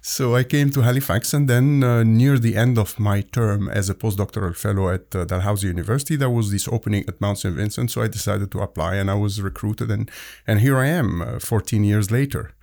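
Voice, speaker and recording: Man from Egypt, gravelly and mellow voice, dry close sound, thoughtful explanatory guest, lots of pauses. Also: lowish voice, steady tone, dry recording. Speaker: physics and chemistry professor, guest. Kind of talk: conversational podcast interview.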